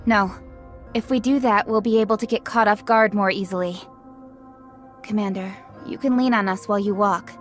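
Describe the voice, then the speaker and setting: A woman playing a composed, protective female squad leader in a game, speaking in a measured, authoritative way. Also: medium pitch, steady tone, soft background music, natural voice. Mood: calm, steady. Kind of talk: dubbed game cutscene voice acting.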